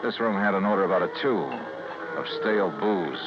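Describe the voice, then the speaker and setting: An American actor playing a hard-boiled, intense radio detective, speaking in an emphatic, clipped, urgent way. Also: male voice, deep voice, brisk, music in the background, lightly acted. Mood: wry narration. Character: hard-boiled male detective under suspicion, intense, narrating his case. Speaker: lead detective and narrator. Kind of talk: 1950s radio detective acting. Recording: noisy, muffled 1950s radio recording.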